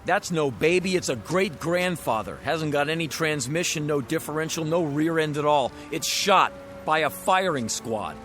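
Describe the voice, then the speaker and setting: A man's voice: on the high side, moderate pace, fairly bright tone, faint music behind, natural voice. A man playing a slangy used-car lot owner with a salesman's patter in an audio drama. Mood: frank.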